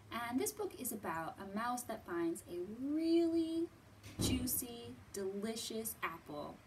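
A woman in her thirties presenting a children's storytime as a librarian, talking simply to small children with drawn-out words and thoughtful hums. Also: mid-range voice, slight background noise. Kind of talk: children's storytime teaching, read-aloud. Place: indoors in a library.

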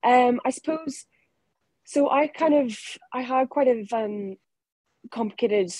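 A woman's voice: on the high side, slow pace, no room sound. A young woman with a Northern Irish accent, chatting easily as an interview guest over a video call. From Northern Ireland. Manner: relaxed, chatty, laughs easily. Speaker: rugby player guest. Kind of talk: casual interview answers. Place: home room, video call.

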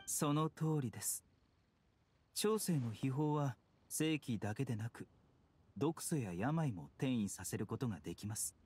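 A man playing a calm, polite, gently unyielding physician in a video game, with formal speech. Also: medium pitch, a little slow, breathy edge. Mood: calm, courteous. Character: composed, courteous male physician, gently unyielding. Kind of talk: Japanese game voice acting.

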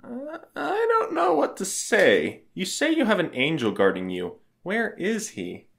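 A woman's voice: mid-range voice, huge pitch swings, unhurried, gravelly, exaggerated voice. Bewildered young Roman bridegroom, swooping voice from a woman. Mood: puzzled, doubtful. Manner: hesitant, incredulous. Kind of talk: audio drama acting.

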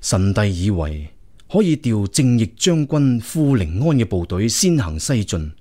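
Lively, deferential imperial prince. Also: male voice, on the low side, measured pace. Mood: earnest, reporting. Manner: deferential, earnest, explanatory. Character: loyal princely younger brother advising the emperor. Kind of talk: Cantonese radio drama acting.

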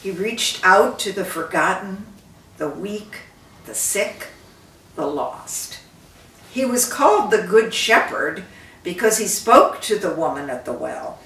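An older woman reading the part of a gentle, devout prince with a reverent, measured delivery. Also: mid-range voice, sing-song, exaggerated voice. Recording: roomy, distant microphone.

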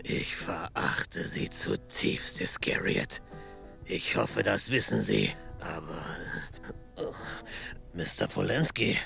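An actor playing a pompous, flustered police captain in a comedy audio drama, halting and strained, with a gravelly voice. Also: male voice, sits low, animated, sharp tone, faint music behind, full character voice. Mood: contemptuous, flustered. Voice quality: gravelly, creaky.

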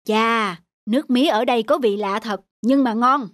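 A man's voice: very high-pitched, moderate pace, a bit bright, dry recording. A man voicing a mild, decent, plain-spoken young traveller in a cartoon. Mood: pleased. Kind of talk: cartoon dubbing. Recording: music behind the voice.

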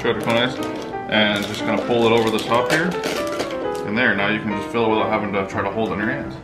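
An American man in his thirties chats casually as a helper on a home cooking video. His voice is low. Plucked guitar music plays clearly behind him. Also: flat delivery, quick pace. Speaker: co-host helping in cooking vlog. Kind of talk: casual chat. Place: home kitchen, indoors.

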